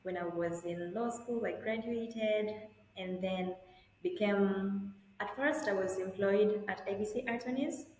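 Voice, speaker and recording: A woman's voice: mid-range voice, measured pace, darkish tone, a little hiss or hum. Young Tanzanian woman on an echoey call. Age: young adult. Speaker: attorney, interview guest. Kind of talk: conversational interview answers.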